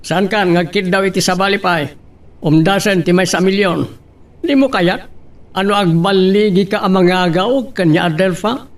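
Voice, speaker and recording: Scheming rich boss played by a man, radio drama: pushy, gravelly, high-pitched, slightly distant recording. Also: moderate pace. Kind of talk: Ilocano radio love drama acting.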